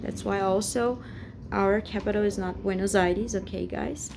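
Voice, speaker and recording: A woman in her forties from Brazil, speaking English with a Brazilian Portuguese accent and a halting, hesitant way of searching for words, in a rambling personal vlog recorded at home. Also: medium pitch, fairly even intonation, creaky, noisy background. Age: 40s. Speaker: vlogger. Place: home, indoors.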